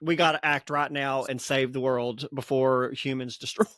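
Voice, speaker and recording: A man's voice: mid-range voice, steady tone, normal speed, a bit bright. A man co-hosting a casual book discussion podcast, rambling a little, stumbling over words and laughing often. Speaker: podcast co-host.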